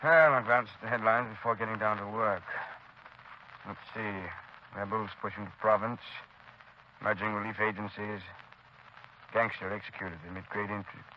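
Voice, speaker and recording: A man's voice: fairly low pitch, fairly even intonation, normal speed, pauses often. A man acting in a 1930s radio drama with a formal, somewhat pompous delivery. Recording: dull old radio recording, faint hiss.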